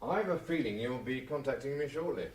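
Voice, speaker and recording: An actor as a courteous official, noisy recording. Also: male voice, medium pitch, animated, brisk, natural voice. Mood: polite, knowing. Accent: British. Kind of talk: radio drama acting.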